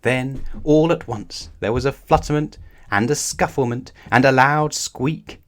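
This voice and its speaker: Guy reading a kids story out loud, slow n measured, expressive, medium pitched voice. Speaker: storybook reader. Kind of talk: children's story read aloud.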